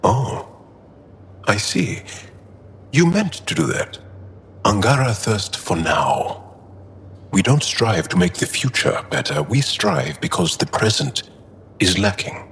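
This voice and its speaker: An actor playing an earnest, guarded male alien fighter in a video game, speaking in deliberate, formal phrases. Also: lowish voice, big pitch swings, fairly bright tone, a little hiss or hum, full character voice. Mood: wry, earnest. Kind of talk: video game dialogue acting.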